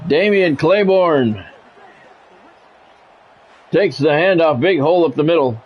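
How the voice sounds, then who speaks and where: A man's voice: a little high, lively intonation, slow pace, breathy edge. A man streaming a football video game, calling it live in clipped, practiced announcer phrases mixed with casual, offhand remarks. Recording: dull lo-fi sound, faint background noise.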